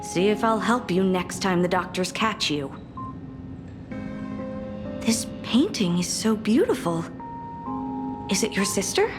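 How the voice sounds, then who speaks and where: Caring nurse lady for a game, chides softly but encouraging, takes her time, clear music behind. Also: mid-range voice, expressive. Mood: chiding. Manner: measured, chiding yet encouraging. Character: caring, gently scolding sanatorium nurse. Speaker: voice actor. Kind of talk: dramatic story game acting.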